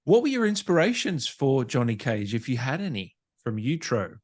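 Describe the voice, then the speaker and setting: A man's voice: mid-range voice, very animated, normal speed. A man hosting a casual interview, putting questions to his guest in a chatty way. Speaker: interview host reading fan questions.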